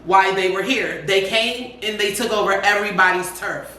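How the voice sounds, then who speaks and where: Dc woman reading comments on a chat show, laughs a lot, tense bright voice, big echo. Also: mid-range voice, moderate pace, recorded from a distance. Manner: storytelling, reads aloud, laughs often. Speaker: podcast co-host. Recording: very echoey room.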